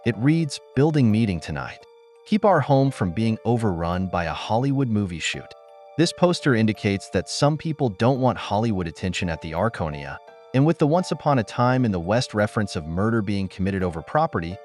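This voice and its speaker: Low-pitched man narrating a lively recap. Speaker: host of a TV episode breakdown channel.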